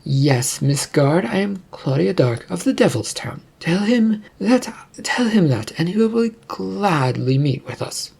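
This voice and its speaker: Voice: medium pitch, big pitch swings, a little slow, creaky, few pauses, a little mellow, breathy edge, voice pushed up, heavily acted. A performer voicing a bold, sly, slightly haughty female spellcaster in a fantasy story. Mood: confident, commanding.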